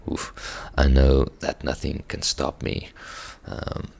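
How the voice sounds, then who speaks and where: A man, an Afrikaans speaker, hoarse from singing, talking through a song reaction in a reflective, hesitant way with many restarts. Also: very deep voice, monotone, slow, darkish tone. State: hoarse, strained voice. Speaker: musician reacting to songs. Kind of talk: casual reaction commentary.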